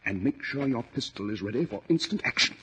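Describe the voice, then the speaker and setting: An actor playing a commanding, coolly controlled detective with clipped, precise speech in a 1940s radio drama. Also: male voice, medium pitch, lively intonation, a little fast, loud music behind the voice, close to an everyday voice. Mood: hushed, tense. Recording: faintly noisy 1940s radio recording.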